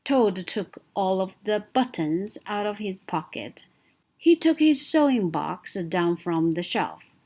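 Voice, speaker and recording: A woman reading a picture book aloud for children, slow, clear and expressive. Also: medium pitch. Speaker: children's story reader.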